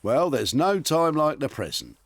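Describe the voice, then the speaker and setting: Animated, wry male narrator over music. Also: on the high side, unhurried, creaky. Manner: wry, teasing, playful. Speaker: narrator of a TV upcycling show.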